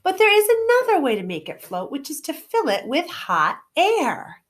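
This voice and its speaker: A woman voicing a grown man, a friendly humbug wizard, in an animated storybook read-aloud. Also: high-pitched, normal speed, pitched above the performer's own voice, heavily acted. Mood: eager, explanatory.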